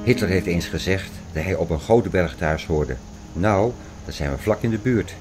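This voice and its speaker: Man acting low-voiced, insistent prophecy theorist, Klaus. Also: expressive, normal speed, over music. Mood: excited, insistent. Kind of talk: radio play dialogue, insistent lecturing and reciting.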